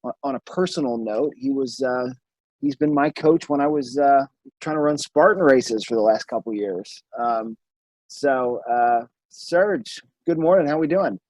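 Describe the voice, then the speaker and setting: Eager podcast host, dry sound. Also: male voice, medium pitch, darkish tone, dry recording. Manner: enthusiastic, halting, many fillers. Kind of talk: casual interview. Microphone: remote recording.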